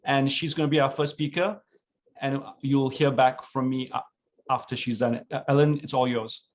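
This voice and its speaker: A man's voice: mid-range voice, a little hiss or hum. Middle-aged man hosting an online panel. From born in South India, raised in Singapore. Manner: relaxed, halting, many fillers. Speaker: panel host, professor. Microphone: video call.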